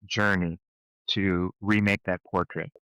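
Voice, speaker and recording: A man's voice: deep voice, flat delivery, slow, bright, forceful tone, clear, resonant tone, no room sound, rough recording. A man, an author, speaking thoughtfully and a little hesitantly in a casual conversation about faith. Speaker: author and guest.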